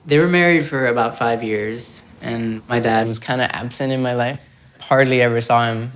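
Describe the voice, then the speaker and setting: A man's voice: mid-range voice, normal speed. A young man from South Florida speaking reflectively and earnestly in a documentary interview. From South Florida, United States. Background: soft music score.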